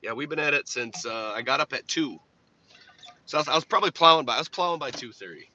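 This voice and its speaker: A young man with a slightly raspy voice, chatting casually on a live stream while plowing snow and answering viewers' questions. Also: medium pitch, animated, fast talker, pushed and bright. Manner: laid-back, rambling, reads chat aloud. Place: inside a truck while plowing. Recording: dull lo-fi stream audio with faint engine noise.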